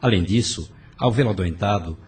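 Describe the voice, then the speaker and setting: A benevolent male mentor spirit instructs with authority , acted by a man in a Brazilian audio drama. He is measured, set back from the mic with faint music under him. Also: lowish voice, darkish tone, breathy edge. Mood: instructive. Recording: distant voice over music.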